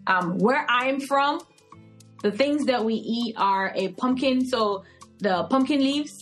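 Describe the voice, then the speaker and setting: A woman's voice: a little high, slow pace, soft background music. A woman in her thirties from Cameroon with a Cameroonian English accent, chatting expressively as a podcast guest with frequent fillers. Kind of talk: podcast interview, casual chat.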